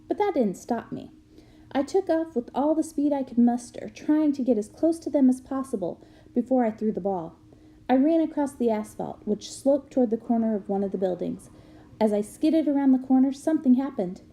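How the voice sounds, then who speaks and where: A woman reading a book aloud as an expressive narrator. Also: high-pitched, normal speed. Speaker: reader of a children's book aloud.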